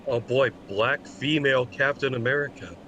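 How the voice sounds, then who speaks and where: A man's voice: mid-range voice, moderate pace, pushed and bright, slight background noise, rough recording. A man bantering casually as a co-commentator in a group chat.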